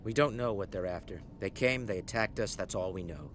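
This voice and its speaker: A man playing a middle-aged colony leader in a game, weary but resolute, earnest and measured. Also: lowish voice, background noise, lightly acted. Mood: grave, weary. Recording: loud background music.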